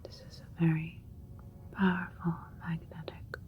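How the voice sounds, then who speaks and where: A woman voicing a calm, clinical female alien doctor in an ASMR roleplay, soothing and methodical in a soft, breathy whisper. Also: on the low side, unhurried, dull audio, natural voice.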